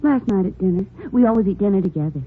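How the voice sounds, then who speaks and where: A woman's voice: medium pitch, lively intonation, fast, faint music behind, close to an everyday voice. A woman playing a polite, concerned young elevator operator in a 1950s radio drama. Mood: helpful, curious. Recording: narrow, faintly noisy vintage radio recording.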